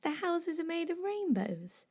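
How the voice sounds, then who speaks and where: A high-voiced, inquisitive little girl in an audio play. Her tone is mellow and rounded, and she speaks with simple, persistent curiosity. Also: sing-song, unhurried, heavily acted. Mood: curious. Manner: inquisitive, childlike, simple questioning. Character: young girl, curious, persistent, asking her mum questions. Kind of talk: audio drama acting.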